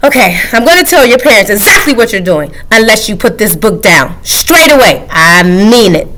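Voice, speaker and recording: A woman voicing a frantic, pleading male monster from a picture book, shrill and desperate. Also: sing-song, normal speed, a bit bright. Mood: threatening.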